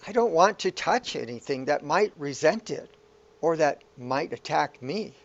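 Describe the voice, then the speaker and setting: Man acting a creaky, anxious hero. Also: on the high side, animated, unhurried, highs cut off. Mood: anxious, bewildered. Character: anxious, bewildered hero stranded in a surreal world. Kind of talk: radio play acting.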